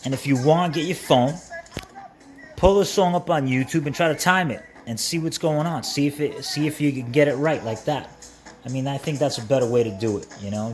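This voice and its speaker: A man narrating a hands-on how-to in an informal way, repeating himself as he talks the viewer through each step. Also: medium pitch. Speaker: repair tutorial host. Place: indoors at a workbench. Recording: steady background noise.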